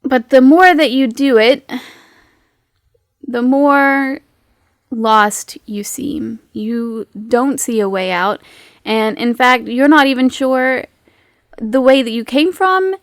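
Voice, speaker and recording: High-voiced woman running a tabletop game. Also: slow, no echo, clean studio sound. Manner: giggly, conversational, playful. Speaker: game master. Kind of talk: casual improvised narration of a tabletop roleplaying game.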